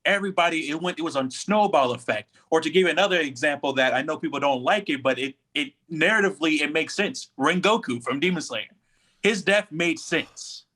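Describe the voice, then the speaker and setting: A man's voice: fairly high pitch, animated, a little fast, sharp tone. A man speaking as a panelist in a casual fan discussion, explaining his points conversationally. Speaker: podcast panelist.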